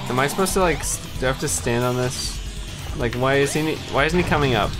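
A man's voice: mid-range voice, fast. A young man giving casual, teasing commentary while playing a game as host. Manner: teasing, laughs easily. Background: video game music.

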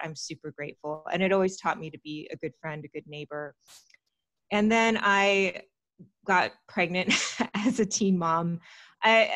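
A woman's voice: on the low side, fairly bright tone, dry recording. Chatty woman on a close, dry mic. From Texas, United States. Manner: chatty and open, with frequent fillers and small laughs. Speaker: podcast guest. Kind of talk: candid personal storytelling in an interview. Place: small room at home, indoors. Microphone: large studio mic with foam cover, close.

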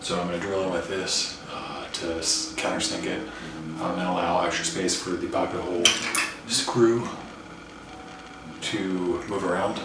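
A young man casually explaining his drill bits on camera in a garage workshop, halting with fillers. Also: sits low, fairly even intonation. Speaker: DIY woodworking channel host. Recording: roomy, distant camera audio with noise.

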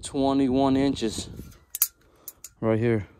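A man with a Californian accent talks in slow, short exclamations while fishing from a small boat outdoors. His mid-pitched voice is picked up by a head-mounted action camera, with a faint hiss of background noise. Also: steady tone, warm tone. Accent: Californian English. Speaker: fishing vlogger. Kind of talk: casual commentary while fishing.

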